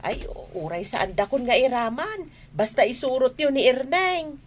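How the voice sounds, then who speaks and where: A woman's voice: sits high, very animated, moderate pace. A woman acting a kindly, firm, fair-minded older coworker in an Ilocano radio comedy drama. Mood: firm, reproving.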